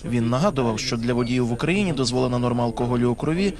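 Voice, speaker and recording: A man's voice: mid-range voice, very fast, crowd noise. A man reading scripted narration as a television news reporter.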